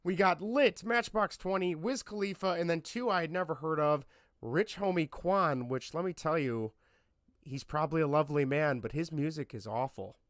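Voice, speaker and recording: A man in his thirties hosting a casual podcast, hoarse from laryngitis, chatty and self-deprecating. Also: on the high side, normal speed, fairly bright tone, dry recording, studio quality. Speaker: podcast host.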